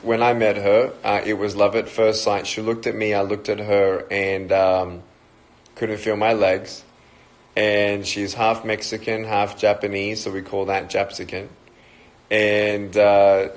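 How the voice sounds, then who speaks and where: A man in his thirties with an Australian accent, chatting casually and joking as he greets viewers on a livestream talk. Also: on the low side. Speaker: motivational speaker.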